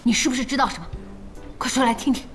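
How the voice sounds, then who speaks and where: Woman acting bubbly, high-voiced young boss. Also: fast, natural voice. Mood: curious. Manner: expressive, inquisitive, playful. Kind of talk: Chinese radio drama acting. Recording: studio voice over faint music.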